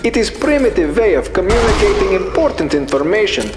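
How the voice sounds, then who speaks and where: A pedantic security guard files formal grievances in a video game. His voice is high and lively, and he leaves out articles as he goes. Music plays behind him. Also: brisk. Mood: pedantic, aggrieved. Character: pedantic, aggrieved male oil rig security guard. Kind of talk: recorded complaint log in a video game.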